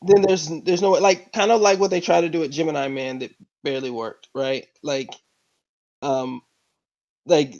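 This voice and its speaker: A man on a podcast panel arguing his points in casual, halting talk, over muffled call audio. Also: on the high side, steady tone, a little mellow. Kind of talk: casual discussion. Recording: dull lo-fi online call audio.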